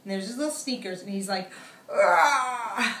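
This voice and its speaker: A woman, an author reading her picture book aloud in an animated storytelling style. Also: medium pitch, fast talker, a little hiss or hum. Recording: echoey room, distant microphone.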